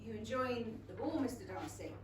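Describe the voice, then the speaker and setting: Woman acting young Regency gentlewoman, English accent, high pitch, poised, distant roomy theatre sound. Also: expressive, moderate pace, natural voice. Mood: probing. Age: young adult. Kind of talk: staged reading of a comic play. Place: small theatre stage. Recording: roomy theatre, distant mic, faint noise.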